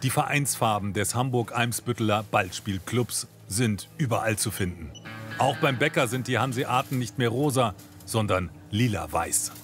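A man's voice: on the low side, normal speed, soft background music. A man narrating a scripted television news report.

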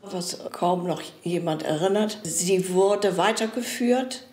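A woman's voice: fairly low pitch, slow, a little mellow. A woman in an interview, telling her family's story in a storytelling way with a few hesitations. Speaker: descendant of the pharmacy family. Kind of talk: interview, recounting family history. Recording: echoey room.

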